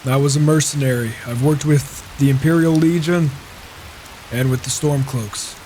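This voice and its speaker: A man roleplaying a reclusive, self-assured mercenary warrior in a video game, talking in a measured, musing way. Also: medium pitch, fairly even intonation, mellow, lightly acted, rough recording. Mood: guarded, curious. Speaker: roleplaying player. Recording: hissy microphone with rain and thunder. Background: rain and thunder.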